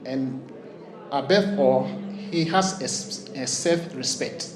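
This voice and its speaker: A man from Ghana, giving a slow, sincere, halting tribute at a memorial. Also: mid-range voice. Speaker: memorial speaker. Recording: echoey hall with crowd.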